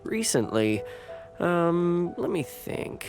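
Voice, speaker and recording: A man's voice: high voice, big pitch swings, slow pace, darkish tone, faint music behind, heavily acted. An actor playing a humble, grateful fisherman and family man in a video game, with a plain-spoken, hesitant manner. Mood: weary, troubled.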